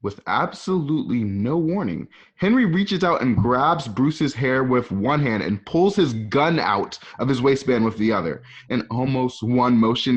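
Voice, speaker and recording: A man narrating an amateur radio drama, reading out the scene directions as the narrator. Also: a little high. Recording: dull lo-fi home recording.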